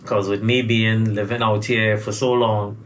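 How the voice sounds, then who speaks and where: A man's voice: lowish voice, little pitch movement, a little slow, fairly bright tone, a little hiss or hum. A man from Trinidad with a faded Trinidadian accent, answering interview questions in a hesitant way and repeating words as he starts. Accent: faint Trinidadian, mostly lost. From Trinidad and Tobago. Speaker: recording artist guest. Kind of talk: casual interview.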